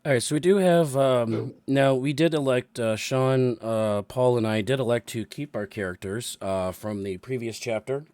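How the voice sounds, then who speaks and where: A man with a cold, hoarse, hosting and running a tabletop game session, halting with many fillers. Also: mid-range voice, a little mellow, no room sound. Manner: halting, many ums and restarts. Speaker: dungeon master and show host. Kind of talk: casual announcements and chat. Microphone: new microphone.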